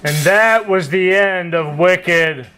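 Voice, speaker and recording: A man's voice: sits high, slow. A man telling a story as narrator of an amateur puppet show. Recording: faint background noise.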